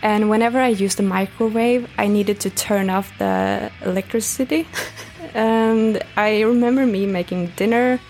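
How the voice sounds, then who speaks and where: A woman in her twenties from northern Sweden, speaking English with a Swedish accent in a relaxed, chatty interview. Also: medium pitch, normal speed, gravelly. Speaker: guest. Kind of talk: casual interview talk.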